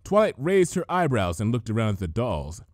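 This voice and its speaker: Man narrating a story, lively intonation. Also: medium pitch, normal speed, no room sound, clean studio sound. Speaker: fan fiction reader.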